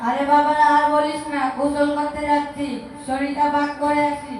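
A high-voiced performer playing an ignorant, good-natured village uncle in an amateur educational skit, with colloquial, recited delivery. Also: completely flat delivery, normal speed, natural voice. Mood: casual, confident. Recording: distant, very echoey, faint noise.

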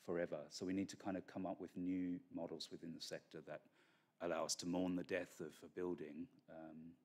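A middle-aged man giving a keynote lecture from a podium on a conference stage, measured and full of fillers and restarts. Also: low voice, little pitch movement, a little mellow. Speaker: keynote speaker. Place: large conference hall stage. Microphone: podium microphone.

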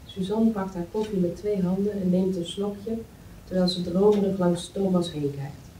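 A woman's voice: lowish voice, steady tone, moderate pace, mellow. A woman reading scripted stage directions at a table read. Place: meeting room. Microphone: far camera. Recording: roomy, distant mic, faint noise.

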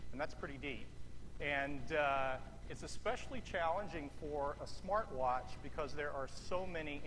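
Middle-aged man presenting on a big stage. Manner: confident, measured product pitch with occasional fillers. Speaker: product presenter. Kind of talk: keynote presentation. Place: large auditorium stage. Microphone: headset. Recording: long hall reverb, room noise.

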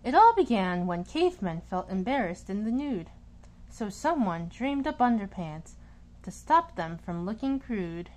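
Woman reading slowly with very lively pitch. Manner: expressive, deliberate storytelling with emphasised words. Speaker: children's book reader. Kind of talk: animated picture-book read-aloud.

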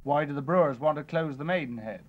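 A man reporting and interviewing pub folk for a 1960s regional newsreel, asking brisk, probing questions. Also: fairly high pitch, phone-quality sound. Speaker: news reporter. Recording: old 1960s film soundtrack.

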